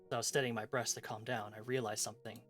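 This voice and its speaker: A man reading aloud the part of a brooding, frustrated professor in a visual novel mystery, in measured, formal wording. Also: mid-range voice, little pitch movement, a bit bright, close to an everyday voice. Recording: music behind the voice.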